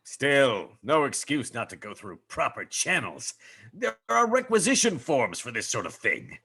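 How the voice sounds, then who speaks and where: A man's voice: mid-range voice, very animated, unhurried, vocal fry, bright, forceful tone, slightly rough, heavily acted. A man playing a haughty, melodramatic space hero in a comic sci-fi script read, with pompous, formal diction.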